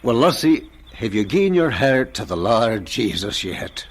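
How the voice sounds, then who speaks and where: Folksy preacher, husky and expressive. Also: male voice, medium pitch, measured pace. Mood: genial, devout. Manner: folksy, earnest, pious. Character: devout, kindly visiting preacher, grown man. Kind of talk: radio drama acting.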